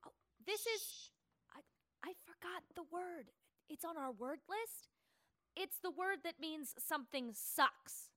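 A woman playing an eager, inquisitive young schoolchild, naive and expressive, in an audio play. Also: extremely high pitch, takes it slowly, full character voice. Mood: puzzled, curious.

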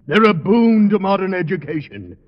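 An actor playing an irascible, domineering head of a school board, gruff and commanding, in an old radio comedy. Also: male voice, high-pitched, very animated, normal speed, highs cut off. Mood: mollified. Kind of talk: 1940s-50s radio comedy acting. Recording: old radio broadcast, hall reverb, studio audience.

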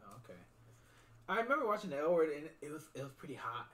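A woman with a lisp, a comedian chatting casually on a podcast, animated, laughing and swearing often. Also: deep voice, fast talker, far from the mic.

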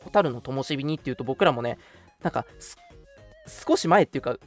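A man's voice: medium pitch, expressive, fairly quick. A man hosting a casual radio show, chatting politely and making announcements over background music.

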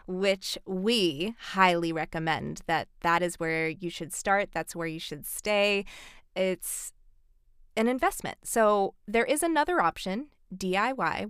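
A woman's voice: mid-range voice, fairly bright tone, clear, resonant tone, no echo, clean studio sound. Very clean-voiced woman podcaster. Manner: emphatic, informal advising. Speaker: podcast co-host, professional voice actor. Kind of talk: conversational instructional podcast talk.

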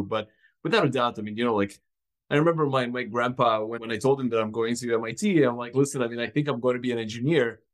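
A man from Ukraine, a company founder, answering interview questions reflectively, with frequent restarts and a voice that drops softly at the ends of thoughts. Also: medium pitch. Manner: reflective, many fillers and restarts, trails off softly. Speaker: startup founder guest. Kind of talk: casual interview.

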